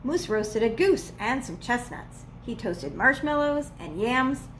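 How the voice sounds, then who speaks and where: A woman reading a children's storybook aloud as a preschool teacher would, with expressive, playful emphasis. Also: on the high side, measured pace, slight background noise, poor audio. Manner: dramatic, playful emphasis on repeated words.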